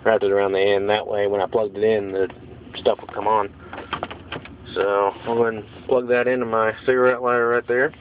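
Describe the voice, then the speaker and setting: A man's voice: mid-range voice, a little hiss or hum. Informal man on dull, lo-fi phone audio. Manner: informal, blunt, plain-spoken. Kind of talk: casual hands-on how-to demonstration. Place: in and around a pickup truck. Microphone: handheld phone.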